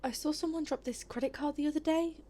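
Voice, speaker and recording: A woman's voice: high-pitched, fairly even intonation, fast, dark tone, lightly acted. A woman acting a polite, hesitant and sceptical visitor in a naturalistic audio drama, rambling a little awkwardly. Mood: polite, uncertain.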